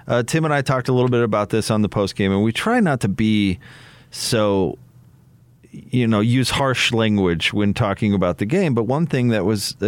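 A man's voice: mid-range voice, no room sound. An American man in casual sports radio discussion as a commentator, hesitating and repeating words while he builds his point.

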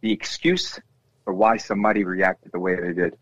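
Impassioned man with a bass voice. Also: fairly even intonation, normal speed, a bit bright, no echo. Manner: impassioned, repeats himself when cutting in. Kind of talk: casual discussion. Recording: dull, dry lo-fi sound.

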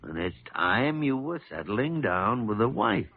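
A crotchety older doctor in vintage radio drama style. His voice is gravelly and swings widely in pitch as he blusters, hems and grumbles. The recording is old and muffled. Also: very animated, a little slow. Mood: coaxing. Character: gruff, crotchety, meddling older male doctor. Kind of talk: 1940s radio drama acting.

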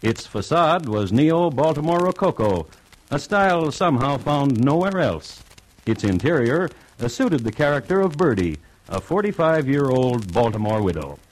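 An actor playing a droll, urbane crime-story host, narrating an old-time radio drama in a dry, wry manner. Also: male voice, mid-range voice, very animated, moderate pace, poor audio.

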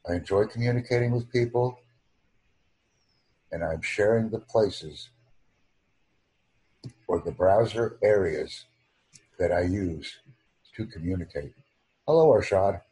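Low, dark, husky American man. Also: rough recording. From United States. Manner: formal, deliberate, over-enunciated phrasing. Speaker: YouTube channel host. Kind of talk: slow, careful video-call chat.

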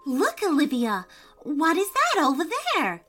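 A woman voicing an excited little girl in a children's story, eager and gushing. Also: sits high, measured pace, faint music behind, voice pushed up, full character voice. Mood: curious.

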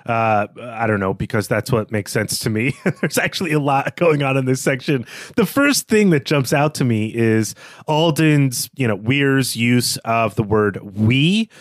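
A man's voice: medium pitch, animated, measured pace, no echo, studio quality. A man co-hosting a literary podcast, analysing a text as he thinks aloud in a reflective way. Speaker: podcast host.

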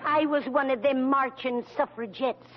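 A woman's voice: high-pitched, fairly even intonation, normal speed, very noisy, close to an everyday voice. An actress playing a fond, soothing middle-aged mother with a tactful manner in an old-time radio comedy. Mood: fond, encouraging. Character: fond, encouraging mother of a grown son. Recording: muffled old radio recording.

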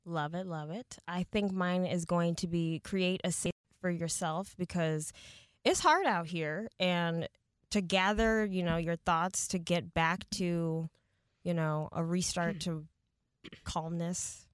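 A woman's voice: fairly low pitch, takes it slowly, clean tone, no echo, clean studio sound. Woman podcast host leading the chat. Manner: warm affirming interjections, leads the talk. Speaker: podcast host and radio traffic reporter.

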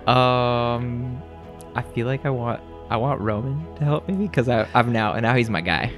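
Blunt, swearing tabletop game player. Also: male voice, medium pitch, normal speed, over music. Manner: blunt, swearing, playful exasperation. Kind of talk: casual tabletop game play, partly in character.

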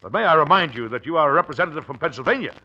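A man's voice: on the high side, animated, racing, sharp tone, slightly rough, full character voice. An actor playing an exasperated but dignified older father and president in an old-time radio drama, speaking formally and with dry patience. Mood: firm, dignified. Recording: muffled vintage radio recording.

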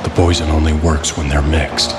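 An actor playing a brooding, secretive male vigilante in an audio drama, speaking tersely and gravely. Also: rumbling low, level tone, normal speed, noisy background. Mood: grim, guarded. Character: brooding masked male vigilante, secretly a troubled rich man. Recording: echoey, loud music underneath.

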